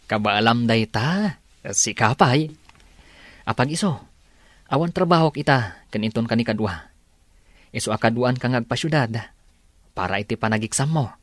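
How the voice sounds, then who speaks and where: A man playing a warm, supportive young husband in an Ilocano radio drama, speaking with an Ilocano accent. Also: medium pitch, lively intonation, normal speed, pauses often, no echo, lightly acted. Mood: encouraging.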